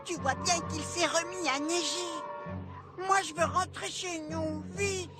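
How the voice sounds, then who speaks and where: A woman's voice: very high voice, fairly even intonation, unhurried, loud music behind the voice. A woman voicing a bookish, worrying young boy in a French cartoon dub, hesitant and protesting. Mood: anxious, pleading.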